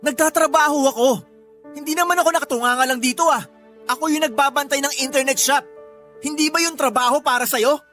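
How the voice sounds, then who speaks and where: A man's voice: extremely high pitch, brisk, bright, forceful tone, soft background music. A man playing a defensive, exasperated young husband arguing and pleading in a Filipino radio drama. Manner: pleading, argumentative.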